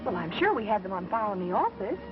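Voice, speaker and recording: A woman's voice: mid-range voice, sing-song, fast, lightly acted. An actress playing a spirited, inquisitive young woman helping a sleuth, in 1930s film melodrama style. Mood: puzzled. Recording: muffled 1930s film soundtrack, loud music.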